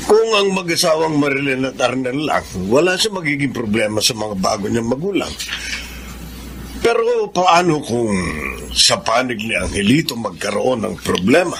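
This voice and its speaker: A man playing a thoughtful, cautious household man in a radio drama, measured and musing. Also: mid-range voice, expressive, vocal fry, a bit bright, a little hiss or hum. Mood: concerned.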